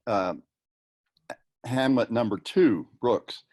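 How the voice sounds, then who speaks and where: A man giving a halting, thoughtful informal comment over a video call. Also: mid-range voice, a little mellow.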